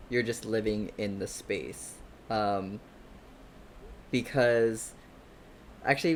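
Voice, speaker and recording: A man's voice: mid-range voice, a little hiss or hum. A young man in a casual podcast discussion who thinks aloud, stopping to correct and rephrase himself. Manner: thoughtful, corrects and rephrases himself mid-thought. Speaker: podcast panelist.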